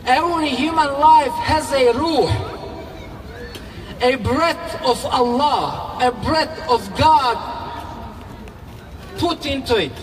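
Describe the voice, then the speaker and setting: A man from Bosnia with a Bosnian accent giving a slow, measured rally speech that rises to fervent, raised passages. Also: very high-pitched. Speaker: speaker at a solidarity rally. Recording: echoey public-address sound from far off, music behind.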